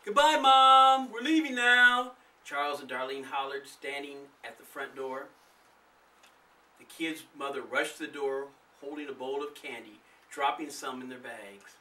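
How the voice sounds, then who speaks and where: A man's voice: mid-range voice, fairly even intonation, moderate pace, sharp tone, distant mic, poor audio. A man reading his own story aloud as a scripted storybook narrator. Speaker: author and narrator.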